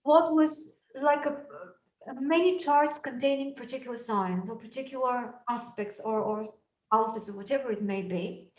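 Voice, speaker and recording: A fairly high-voiced woman with a Bulgarian accent gives an astrology lesson, thinking aloud and stopping on "uh" and "um" as she builds her points. She sounds set back from the microphone in a room with a clear echo, and the sound is slightly dull. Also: normal speed, a little mellow. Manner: thoughtful, searching for words with frequent fillers. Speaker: astrology teacher. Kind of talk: lecture on astrology. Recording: echoey room, mic at a distance, dull sound.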